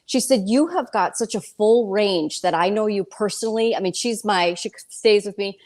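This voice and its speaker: A woman's voice: medium pitch, moderate pace. Candid woman in her fifties, chatting. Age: 50s. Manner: animated storytelling, quotes herself and others, colloquial. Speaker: interview guest, real estate broker and speaker. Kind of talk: casual, candid interview chat.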